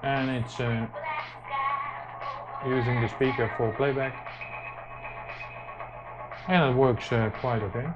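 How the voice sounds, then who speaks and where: Slow, halting man giving a tech tutorial. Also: mid-range voice, level tone. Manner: halting, very frequent hesitations. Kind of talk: informal hands-on tutorial narration. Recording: muffled lo-fi mic, music behind.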